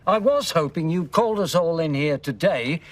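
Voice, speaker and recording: A man's voice: a little high, lively intonation, moderate pace, recorded from a distance, natural voice. An actor playing a cautious male police commissioner politely raising objections. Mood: concerned.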